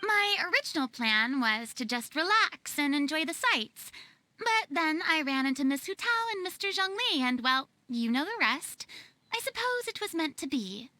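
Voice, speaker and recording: A woman voicing a spirited, theatrical young lady with an expressive, chatty manner in a video game. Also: high voice, normal speed, dry recording, exaggerated voice. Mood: cheerful. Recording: music behind the voice.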